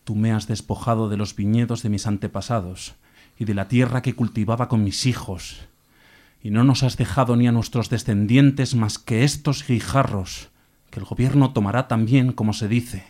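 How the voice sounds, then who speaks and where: A man, a stage actor, recites poetry in a declamatory, emphatic manner with deliberate pauses. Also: medium pitch, steady tone, warm tone.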